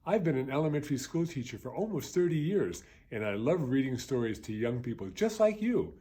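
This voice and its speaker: A man in his fifties, an elementary school teacher, reading a children's story aloud in a gentle, lively way. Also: mid-range voice, brisk, breathy edge. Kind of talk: children's read-aloud narration.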